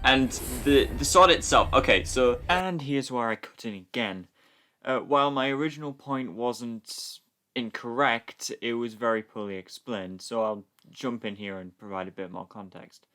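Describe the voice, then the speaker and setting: A man's voice: medium pitch, normal speed, pushed and bright. A man giving casual, joking commentary on a film in a mock-pedantic, analytical way. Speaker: fan dub commentator.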